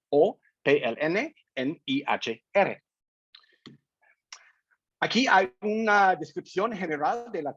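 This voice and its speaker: Slow, careful non-native man on a call. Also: a little high, breathy edge, dry recording. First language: not Spanish. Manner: careful, deliberate delivery with hesitations. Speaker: invited speaker. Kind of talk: online seminar presentation. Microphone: video call. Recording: dull lo-fi online call audio.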